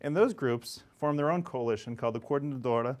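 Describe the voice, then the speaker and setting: A man's voice: medium pitch, fast talker. A man in his forties giving a conversational classroom lecture with asides to students, speaking on a lapel mic in a classroom. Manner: animated, gesturing, conversational with asides. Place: classroom in front of whiteboard.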